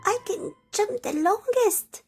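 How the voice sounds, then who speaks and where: Woman as high, loud, excitable young boy rabbit. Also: takes it slowly, music in the background, full character voice. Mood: playful, excited. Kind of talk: children's storybook character voice.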